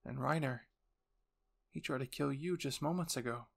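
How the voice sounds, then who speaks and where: Young soldier's inner monologue for anime fiction male reader, earnest, anxious, defiant, mid pitch. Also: moderate pace, creaky. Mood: bitter, resolved.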